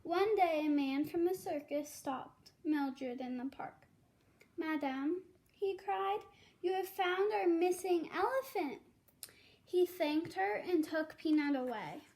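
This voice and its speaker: Young girl reading slowly, high voice. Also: a little mellow. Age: child. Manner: careful, halting reading. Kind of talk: children's storybook read-aloud.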